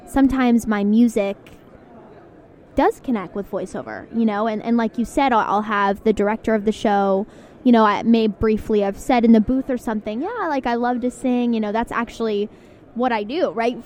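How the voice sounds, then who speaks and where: A woman's voice: on the high side, darkish tone. A young woman chatting as an interview guest, bubbly and full of fillers, recorded on location into a handheld recorder. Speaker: guest, singer and voice actor. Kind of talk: casual interview chat. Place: on location, indoors. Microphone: studio mic into handheld recorder.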